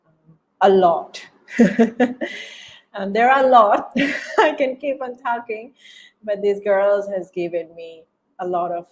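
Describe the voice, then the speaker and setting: A woman with a Bangladeshi accent speaks slowly in a slightly low voice. She answers interview questions reflectively, pausing to search for words and often repeating a phrase before she moves on. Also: rough recording. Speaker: interview guest, documentary filmmaker.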